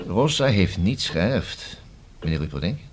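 A male actor in a Dutch radio play as a probing, self-assured police inspector who questions deliberately and dryly, with a husky voice. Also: sits low, very animated, a little hiss or hum. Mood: pointed. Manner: deliberate, rhetorical questioning with emphatic repeats.

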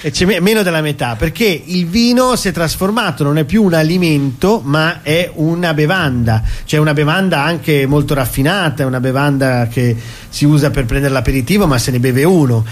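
A man talking as a radio guest, explaining things in a chatty, discursive way. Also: mid-range voice. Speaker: stage actor, radio guest. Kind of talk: interview, casual explaining of a show.

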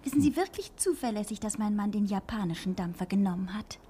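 A woman acting in a 1960s German radio drama as the sharp, restless, loyal wife of a fugitive, expressive and full of questions. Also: mid-range voice, fast, a little hiss or hum, lightly acted. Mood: worried.

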